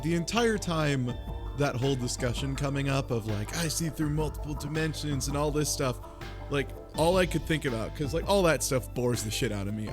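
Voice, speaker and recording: A man co-hosting a podcast, giving opinions in a blunt, sweary, informal way. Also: medium pitch. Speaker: podcast co-host. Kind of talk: casual opinionated discussion. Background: background music.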